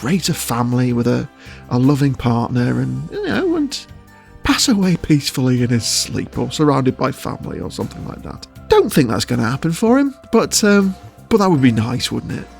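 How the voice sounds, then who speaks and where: A man's voice: medium pitch, sing-song, moderate pace, vocal fry, a little mellow, faint music behind. A man giving casual interview answers as a voice actor, choosing his words with hesitation and often chuckling.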